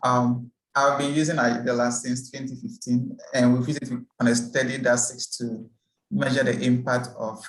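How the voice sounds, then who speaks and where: A man's voice: mid-range voice, normal speed, reverberant, low-quality recording. A man from Ghana, steadily introducing himself and his work on a video call. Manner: steady, explanatory, with some fillers. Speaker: research staff.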